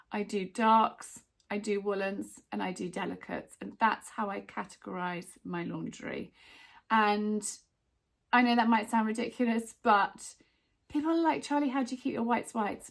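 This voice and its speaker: Chatty woman, a bit croaky. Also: medium pitch. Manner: chatty, friendly asides to viewers. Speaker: lifestyle vlogger. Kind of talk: casual how-to vlog.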